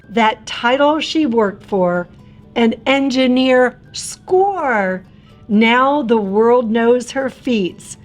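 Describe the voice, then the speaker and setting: A woman narrating a rhyming picture book for young children in an expressive, theatrical and emphatic way. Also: fairly high pitch.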